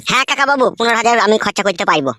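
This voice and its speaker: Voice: mid-range voice, expressive, rapid-fire, bright, forceful tone, no echo, studio quality. A performer voicing a deferential, naive young village man in a comedy cartoon. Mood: puzzled, agreeable. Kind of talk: Bengali comedy cartoon dubbing.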